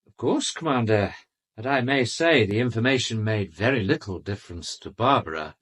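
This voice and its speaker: Low, husky, haughty British doctor. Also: male voice, expressive, normal speed, a bit bright. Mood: smug. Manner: haughty, precise, defensive. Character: arrogant, urbane male doctor under police questioning. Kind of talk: radio drama acting.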